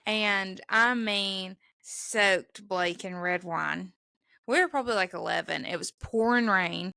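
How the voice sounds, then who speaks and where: A young Southern woman from Mississippi chats on a podcast, acting out the lines she quotes. Her voice is gravelly and bright, with a touch of fry. The recording is close and dry, with no room sound. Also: medium pitch, takes it slowly, dry recording. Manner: chatty storyteller, acts out quotes. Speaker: podcast co-host. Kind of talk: casual chat.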